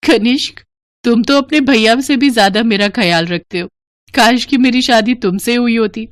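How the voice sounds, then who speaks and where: A woman's voice: on the high side, normal speed, no echo, studio quality, pitched above the performer's own voice, lightly acted. A woman voicing an earnest, emotional young daughter-in-law in a family storybook drama. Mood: affectionate. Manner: earnest, pleading.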